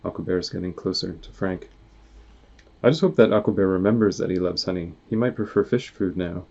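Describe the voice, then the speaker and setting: A man with a low voice reads a storybook aloud. He is casual and acts out the lines and noises as he goes. The recording is dull and slightly muffled. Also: a little fast, vocal fry, darkish tone. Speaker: author reading their own comic aloud. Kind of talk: animated storybook read-aloud with sound effects.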